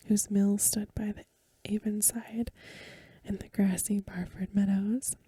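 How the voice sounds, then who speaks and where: A woman reads a story in a hushed ASMR style. Her voice is whispery and very airy, with a mellow, dark tone. The phrasing is gentle and measured, and the sound is dry and close. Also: mid-range voice, no room sound. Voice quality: breathy, whispery soft voice. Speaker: ASMR reader. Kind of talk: soft-spoken ASMR storybook reading.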